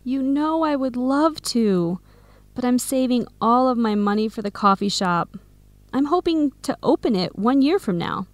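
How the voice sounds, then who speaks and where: A woman playing a supportive, hardworking young American friend in a scripted radio drama, conversational and clearly enunciated, with an American accent. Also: a little high, expressive, measured pace, dry recording. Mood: friendly. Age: young adult.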